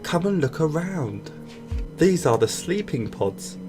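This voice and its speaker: A man's voice: fairly high pitch, sing-song, slow pace, voice pushed up. A man voicing a friendly woman astronaut from a children's storybook, welcoming and explanatory as a guide. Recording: music bed behind voice.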